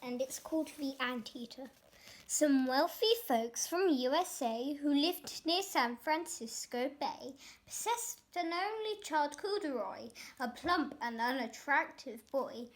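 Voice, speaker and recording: Child storyteller, high voice, lively swings, unhurried, expressive rhyme reading.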